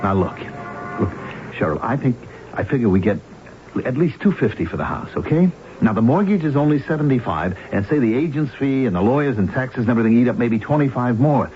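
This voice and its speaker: An actor playing a wheedling American husband, a gambler in debt, pleading like a hustler in a 1970s radio drama. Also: male voice, mid-range voice, lively intonation, close to an everyday voice. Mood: desperate, pleading. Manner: pleading, fast-talking hustler. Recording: noisy, dull vintage radio broadcast.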